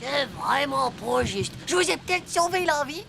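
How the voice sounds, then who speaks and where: A man voicing a blunt, cheeky, grumbling young schoolboy in a cartoon, with a whiny, creaky voice. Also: very high voice, lively intonation, moderate pace, background noise, heavily acted. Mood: sulky. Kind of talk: cartoon voice acting.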